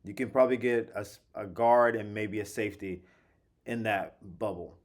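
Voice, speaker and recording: Slightly hoarse, animated sports host man. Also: on the low side. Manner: animated, conversational, slangy, with quick asides. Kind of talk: casual solo sports commentary.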